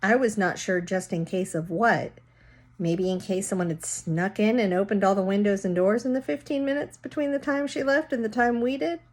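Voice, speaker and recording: A woman's voice: medium pitch, normal speed. A woman reading a children's story aloud, narrating and casually explaining words as she goes.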